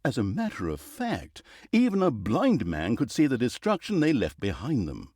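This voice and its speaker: A man's voice: mid-range voice, wildly swooping pitch, moderate pace, darkish tone, slightly rough, no echo, clean studio sound. A man playing a snarky, self-aware storyteller in a fantasy video game, narrating with pompous, mock-grandiose flourish and wry asides. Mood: wry, mock-grand.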